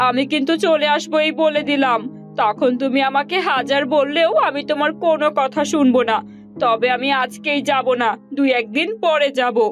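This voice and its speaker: A woman's voice: sits high, lightly acted. A woman voicing an indignant young wife in a cartoon, scolding and complaining in a plaintive way. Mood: defiant, sulky. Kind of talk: Bengali cartoon voice acting.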